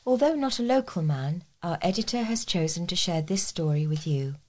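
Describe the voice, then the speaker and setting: A woman's voice: on the low side, lively intonation, normal speed, a little mellow. A woman reading scripted local news as a volunteer reader. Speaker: volunteer newsreader for a local talking newspaper.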